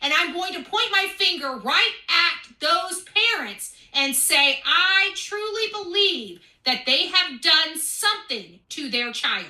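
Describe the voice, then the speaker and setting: An echoey recording of a woman with a very high, piercing voice. She rants confrontationally, brash and sweary, with big swings in pitch. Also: expressive, slow pace, hardly any pauses, sharp tone. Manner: brash, foul-mouthed, emphatic. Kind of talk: confrontational rant. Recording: echoey room sound.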